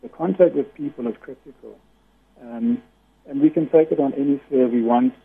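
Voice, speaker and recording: Radio guest on the phone: a loud-voiced man, very husky, earnest and explanatory, tinny line. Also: mid-range voice, normal speed. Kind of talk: radio interview over the phone.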